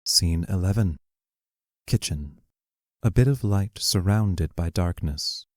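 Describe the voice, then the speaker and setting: A man with a low voice narrates the stage directions of an audio drama. He is measured and descriptive, and the recording is close and dry. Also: expressive, no echo. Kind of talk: scripted narration of play scene directions.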